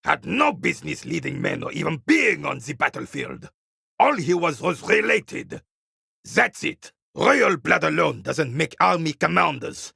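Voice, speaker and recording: An actor playing a proud, bitter old royalist soldier in a video game, stern and disdainful, with a gravelly, raspy voice. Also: male voice, a little high, very animated, measured pace, pushed and bright, no echo, clean studio sound, heavily acted. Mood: bitter anger. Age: older. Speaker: retired carabinier working as a guard. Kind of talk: video game character dialogue.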